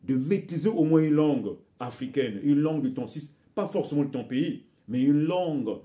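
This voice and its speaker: Exhorting man, mellow voice. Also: fairly high pitch, expressive, normal speed. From Congo. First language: Bembe. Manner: exhorting, addresses listeners as brothers and sisters; repeats words while searching for phrasing. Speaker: vlogger addressing his viewers. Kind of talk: impassioned direct-to-camera commentary.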